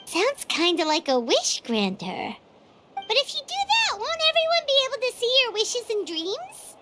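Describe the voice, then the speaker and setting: A woman voicing a small, excitable, fairy-like girl companion in a video game, with a squeaky, childlike, cutesy delivery. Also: moderate pace, full character voice. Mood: curious. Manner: exclamatory, cutesy. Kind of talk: video game character acting. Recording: loud game music behind. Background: game music.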